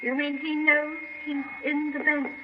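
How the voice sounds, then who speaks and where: Woman playing well-mannered wife, radio drama, slightly high voice, marvelling, measured, dull noisy old recording. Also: little pitch movement, natural voice. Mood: wondering. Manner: polite, softly marvelling, measured. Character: genteel, wondering middle-class wife visiting her husband. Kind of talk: 1970s radio horror drama acting.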